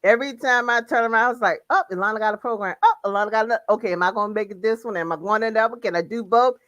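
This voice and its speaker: A woman's voice: a little high, rapid-fire, a bit bright, dry recording. A middle-aged woman hosting a gospel radio chat, emphatic and repeating herself for effect. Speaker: radio show host.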